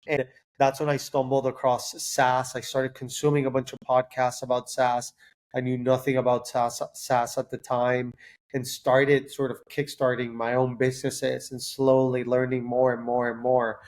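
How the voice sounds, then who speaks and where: A man in his thirties chatting as a podcast guest. He speaks at a mid pitch with little rise and fall, circling his thoughts with frequent "like" and quick restarts. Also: darkish tone. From Puerto Rico. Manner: talks in long loose runs, frequent "like" and self-restarts. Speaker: podcast guest, startup founder. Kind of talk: casual interview chat.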